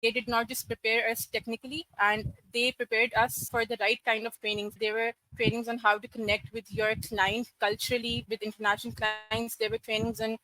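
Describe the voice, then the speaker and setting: A woman in her twenties from Karachi, a podcast guest telling her career story in a steady, articulate, matter-of-fact way. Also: a little high, fairly quick, few pauses, no echo, poor audio. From Karachi, Pakistan. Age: 20s. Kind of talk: podcast interview.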